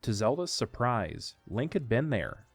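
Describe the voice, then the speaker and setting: A man's voice: mid-range voice, very animated, unhurried, dry recording, faint music behind. A man reading scripted story narration for an audio drama.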